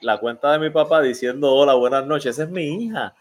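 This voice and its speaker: A man's voice: medium pitch, fast. A man in his forties hosting a sports podcast, chatting in a friendly, teasing way. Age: 40s. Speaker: podcast host. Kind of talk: casual sports chat.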